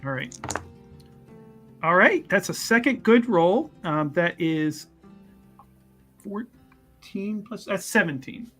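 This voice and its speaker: A man with a high, bright voice plays a tabletop roleplaying game. He talks casually and jokily, narrating what his character does, with faint music underneath. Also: slow, long pauses. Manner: animated, jokey, narrates character in third person.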